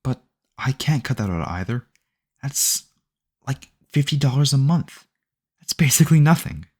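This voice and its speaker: A man's voice: mid-range voice, big pitch swings, moderate pace, darkish tone. A man playing a sweetly possessive, obsessive boyfriend in an ASMR audio roleplay, rambling earnestly and intimately to the listener. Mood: earnest, self-justifying. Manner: doting, rambling, earnest self-justifying, close and conversational.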